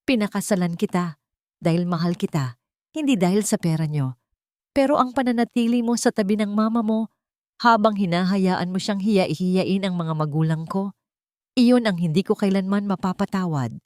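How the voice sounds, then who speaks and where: A woman's voice: mid-range voice, normal speed, darkish tone, dry recording, studio quality, natural voice. A woman voicing a wronged wife in a dramatic story, cold, threatening and resolute as she accuses. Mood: hurt, firm. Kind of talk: dramatic storybook dialogue reading.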